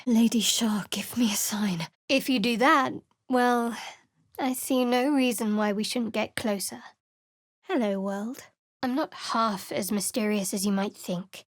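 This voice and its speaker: A woman voicing a guarded, sardonic young female cleric of a dark goddess in a fantasy video game, with a dry, secretive, teasing manner. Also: fairly high pitch, dry recording. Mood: hushed, devout whisper. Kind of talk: video game companion voice lines.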